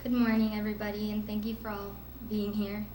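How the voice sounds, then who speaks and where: A woman's voice: medium pitch, monotone, brisk, mellow, a little hiss or hum. A teenage girl from the Philippines giving an earnest prepared speech as a student speaker at a school event. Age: teens. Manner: earnest, with nervous laughs. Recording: echoey room with faint ambience.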